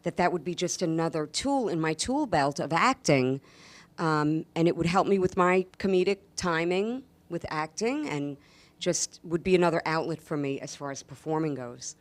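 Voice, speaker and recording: Low-voiced New woman, emphatic. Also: moderate pace. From New York, USA. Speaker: guest, actress and stand-up comedian. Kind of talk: casual interview chat.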